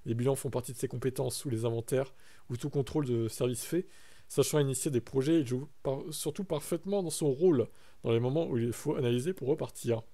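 A man's voice: medium pitch, brisk, dark tone. A young man from France chatting casually on a live stream, reacting to his chat and reading text aloud.

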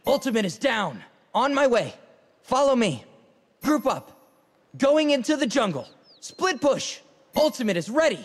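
High-pitched cocky young graffiti fighter. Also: male voice, big pitch swings, measured pace, pauses often, in a large hall, heavily acted. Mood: brisk, confident. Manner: brash, teasing, slangy. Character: cocky, playful young male graffiti-artist fighter in a game. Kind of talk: video game character voice lines, short callouts.

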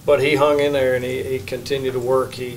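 An older man, a baseball head coach, answering questions at a postgame press conference in a plain, measured way at a table microphone. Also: medium pitch, little pitch movement, a little hiss or hum, poor audio. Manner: measured, plain-spoken, many restarts. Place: press room. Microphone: table mic.

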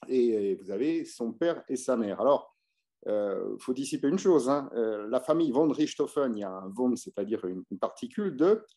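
A man's voice: medium pitch, animated, normal speed, dark tone. A man giving an unscripted history lecture in French, hesitating often with filler sounds and restarts. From France. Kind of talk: unscripted illustrated history talk.

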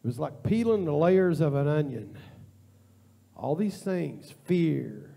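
A male pastor preaching in a church, with a high voice and a gravelly edge to it. His delivery is informal and repetitive. He swings from impassioned, near-shouted pleading to hushed prayer. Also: expressive, takes it slowly. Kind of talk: sermon. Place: church sanctuary.

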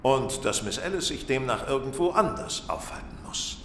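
An actor playing a keen, self-assured detective in a German radio play, speaking in deliberate, formal, precise sentences. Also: male voice, medium pitch, expressive, fairly bright tone. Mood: assured, explanatory. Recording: roomy, distant, echoing, faint noise.

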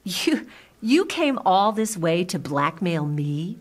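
A woman's voice: fairly low pitch, very animated, takes it slowly, husky, full character voice. A woman playing a guarded, easily flustered doctor with a troubled past in a motion comic, speaking earnestly and hesitantly. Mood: defensive. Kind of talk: motion comic dramatic acting. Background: background music.

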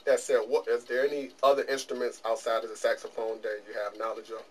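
A man's voice: medium pitch, fairly even intonation, fast talker. A middle-aged man hosting a small interview show, asking questions in a plainspoken, joking way. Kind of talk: casual interview. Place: small office studio. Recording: distant camera mic with faint room noise.

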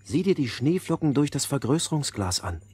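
An actor playing a headstrong young boy in a fairy-tale audio play, with an expressive, youthful manner. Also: mid-range voice, a little fast, husky. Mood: scornful. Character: young boy, headstrong, scornful, easily enchanted.

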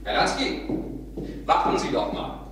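A man's voice: unhurried, natural voice. An actor playing a polite but suspicious younger businessman, clipped and probing, in radio crime drama dialogue. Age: adult, younger than the salesman. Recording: echoey room with faint noise.

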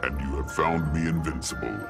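An actor playing a solemn, ominous otherworldly being in a video game, speaking portentously. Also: male voice, extremely low pitch, fairly even intonation, a little fast, vocal fry. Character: grave ominous otherworldly male cosmic being. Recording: loud game music behind.

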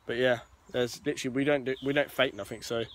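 A man's voice: medium pitch, steady tone, fast. A young man talks chattily to his viewers outdoors in the woods, picked up by a friend's handheld phone. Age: young adult. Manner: chatty, addresses viewers directly, nonstandard grammar. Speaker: vlogger. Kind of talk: casual walking vlog.

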